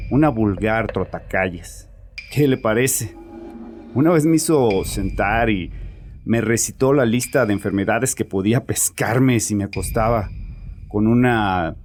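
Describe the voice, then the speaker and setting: A man's voice: lowish voice, darkish tone, close to an everyday voice. An actor playing a bitter, troubled father confessing defensively and sardonically in a dramatized horror audiobook. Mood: bitter, sardonic. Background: music.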